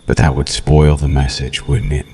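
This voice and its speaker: A man's voice: extremely low pitch, flat delivery, dark tone. A man playing a cruel, seductive vampire in an ASMR roleplay, taunting the listener with formal, theatrical menace. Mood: condescending, menacing. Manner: slow, theatrical, mocking, menacing purr with formal diction.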